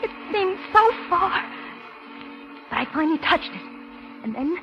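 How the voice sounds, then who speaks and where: A frightened young woman in a vintage radio thriller. Her voice is high, tense and halting, repeating words as she tries to sound defiant. The recording is dull, with prominent music. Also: very animated, exaggerated voice. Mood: fearful, suspenseful. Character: frightened young working woman held captive, desperately defiant. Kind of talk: 1940s radio drama acting and first-person narration.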